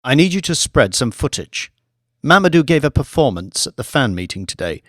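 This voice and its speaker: A scheming male government operative voiced by a male audiobook reader, mid-pitched and very dry in sound. He is cool and commanding, giving orders in a clipped, businesslike way. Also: big pitch swings, a little fast, a bit bright, no echo. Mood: scheming, brisk. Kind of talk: audiobook character voice.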